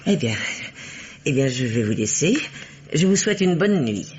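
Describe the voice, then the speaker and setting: A woman's voice: rumbling low, sing-song, moderate pace, darkish tone. An actress playing a prim, suspicious, secretive spinster landlady with hesitant formal politeness in an old French radio mystery. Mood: polite, flustered. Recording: dull, band-limited vintage radio recording.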